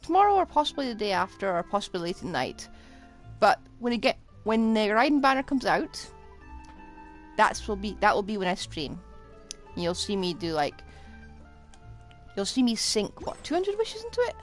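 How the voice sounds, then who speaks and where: A woman's voice: mid-range voice, big pitch swings, moderate pace, faint music behind. A woman livestreaming a game, chatting casually and thinking aloud as she rambles along.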